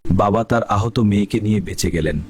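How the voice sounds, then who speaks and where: A male narrator delivers a scripted dramatic tale. His voice sits fairly low. Music plays prominently behind him. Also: a little fast. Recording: loud background music.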